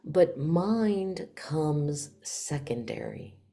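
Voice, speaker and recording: A woman with a very deep voice guides a meditation at an extremely slow pace. Her tone is dark and mellow, and she speaks in hushed, short phrases, leaving long pauses between them. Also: sing-song, gravelly. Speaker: meditation teacher. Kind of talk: guided meditation, spiritual talk.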